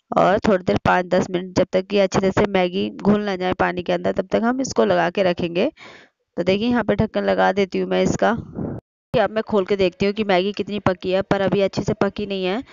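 A middle-aged woman with a hoarse voice from a sore throat, chatting casually through a cooking vlog in her home kitchen and explaining each step to her viewers. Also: medium pitch, darkish tone, no room sound. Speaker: vlogger. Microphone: phone camera. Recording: slightly muffled phone audio.

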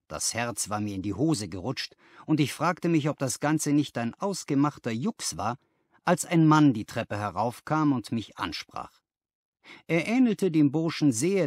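Man, audiobook narrator, scripted story reading, expressive intonation, dry recording. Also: mid-range voice, dry recording.

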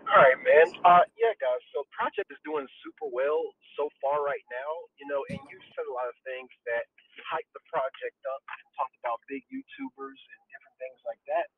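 Husky man on a tinny phone line. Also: a little high, very animated, normal speed. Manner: emphatic, urgent market analysis. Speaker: audience member asking a question. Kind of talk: casual voice-chat question.

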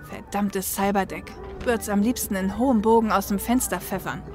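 Woman acting dry sarcastic female mercenary, protective, mid pitch, audio drama, music underneath. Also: normal speed, natural voice. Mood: exasperated concern. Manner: wry, blunt. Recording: music bed behind the voice.

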